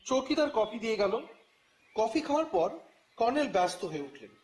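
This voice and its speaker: An actor playing a wry, grumbling reporter who narrates his own detective adventure in an expressive, dramatized audio story. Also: sits high, moderate pace. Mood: tense, exasperated. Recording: music bed under the voice.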